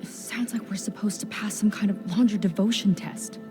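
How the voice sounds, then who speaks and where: An actress playing an earnest, loyal young warrior companion in a video game, with a persuasive manner. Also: female voice, mid-range voice, moderate pace, music in the background, close to an everyday voice. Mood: practical.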